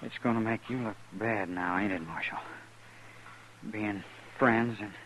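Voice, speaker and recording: Wounded ex-outlaw: lowish voice, American Western drawl, plain-spoken, regretful, old radio play with faint noise. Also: male voice, steady tone, unhurried, fairly bright tone. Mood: weary, regretful. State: weak from a gunshot wound. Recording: faintly noisy vintage radio recording.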